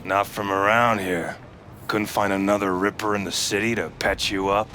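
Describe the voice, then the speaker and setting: A man's voice: lowish voice, level tone, moderate pace, pushed and bright, slight background noise. A man playing a suspicious, surly gatekeeper thug in a video game, curt and challenging. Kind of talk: video game character acting.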